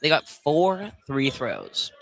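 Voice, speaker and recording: A man giving casual, analytical color commentary on a live basketball game, often pausing mid-thought. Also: a little high, huge pitch swings, creaky, fairly bright tone, slight background noise. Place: courtside at a college basketball game.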